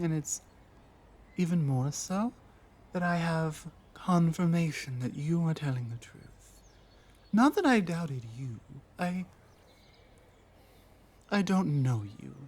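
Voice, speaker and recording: Casting: actress as lost orc warrior woman for ASMR roleplay; earnest, wary, unhurried, pausing often. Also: low voice, lively intonation, darkish tone, full character voice. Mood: comforted, softening. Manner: halting, earnest, deliberate phrasing with pauses. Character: lost, guarded young female orc warrior, earnest and lonely. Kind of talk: ASMR roleplay audio drama.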